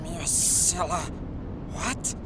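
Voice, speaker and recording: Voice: mid-range voice, lively intonation, background noise. An actor playing a dim, jumpy lizard-man henchman, slangy and stammering, in a noir audio drama. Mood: confused, defiant. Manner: slangy, slow-witted, stammering under pressure.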